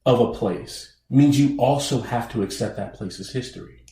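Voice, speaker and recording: A man co-hosting a podcast who speaks thoughtfully and deliberately, often restarting his sentences. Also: mid-range voice, mellow, reverberant. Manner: thoughtful, halting restarts, slow deliberate phrasing. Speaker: podcast co-host. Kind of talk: casual conversation.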